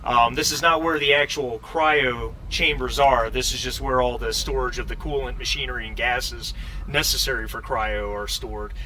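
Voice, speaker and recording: A man narrating a tabletop game as game master, improvising with halting pauses. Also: medium pitch, fairly even intonation. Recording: distant mic with background noise.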